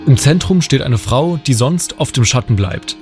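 Guy reading a scripted news report, medium pitch, music playing under it. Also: expressive, normal speed, darkish tone.

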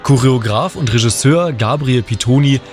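Male reporter, mid-pitched voice, scripted news narration over a music bed. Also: normal speed.

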